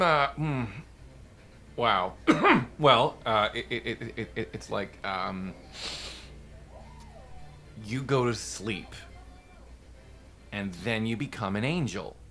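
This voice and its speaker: Woman as a nervous parent, very low voice, wide swings, bright tone, halting delivery. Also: big pitch swings, exaggerated voice. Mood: awkward, gentle. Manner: hesitant, stammering. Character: nervous, halting parent, a struggling musician called Dad. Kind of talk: naturalistic drama acting.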